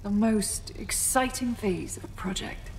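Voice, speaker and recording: A woman's voice: medium pitch, a little slow, vocal fry, a little mellow. An actress playing a composed, clinical woman scientist in a video game, explaining things with formal detachment. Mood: cool, clinical. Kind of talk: video game cutscene acting. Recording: echoey hall, faint ambient noise.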